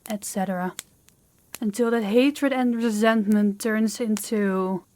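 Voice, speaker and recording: A woman playing a proud, guarded young princess in an intimate audio roleplay, speaking in a measured, formal, slightly awkward way to the listener. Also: medium pitch, a little mellow, natural voice. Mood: stiff, composed.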